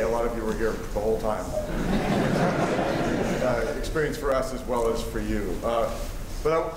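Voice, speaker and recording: A middle-aged actor giving an earnest curtain speech to a theater audience, halting and full of restarts. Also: male voice, medium pitch, very noisy. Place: large theater stage. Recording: roomy theater sound from far off.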